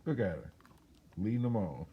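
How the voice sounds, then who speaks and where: Animated man reading a children's book aloud. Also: lowish voice, moderate pace, husky, dull audio. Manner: expressive, chatty. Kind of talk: animated read-aloud with casual asides.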